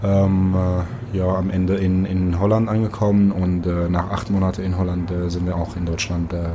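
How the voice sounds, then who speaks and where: Give me a young man from Syria, very low flat voice, pausing a lot, with background noise. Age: 20s. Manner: halting, many filler pauses. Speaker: guest swimmer. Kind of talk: interview answers.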